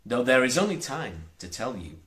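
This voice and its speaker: A man narrating a children's storybook in a measured, deliberate and expressive way. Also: medium pitch. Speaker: audiobook narrator.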